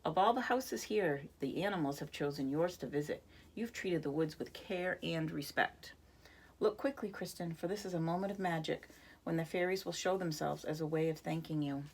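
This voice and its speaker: A woman voicing a gentle woodland fairy spirit in a soft, hushed, airy way. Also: low-pitched, moderate pace, husky.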